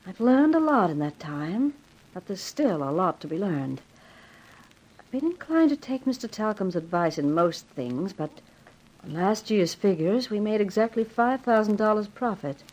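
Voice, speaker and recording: An actress playing a cool, brisk young company boss in a 1950s radio drama, formal and businesslike. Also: female voice, mid-range voice, sing-song, a little mellow, low-quality recording. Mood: firm, decisive. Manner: businesslike, commanding, crisply formal.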